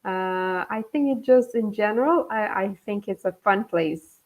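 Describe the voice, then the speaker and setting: A woman's voice: medium pitch, unhurried, darkish tone. A woman in her thirties from Bangladesh, an English teacher, talking in a friendly way with short encouraging replies during a live online lesson. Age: 30s. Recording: dull lo-fi online call audio.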